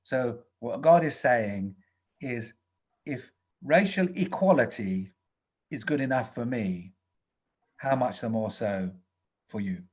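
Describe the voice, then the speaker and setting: A man's voice: mid-range voice, expressive, slow pace, pauses often, darkish tone, breathy edge. A man, a rabbi, gives a teaching talk over a video call, reasoning his way toward rhetorical questions. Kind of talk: teaching talk at an online webinar.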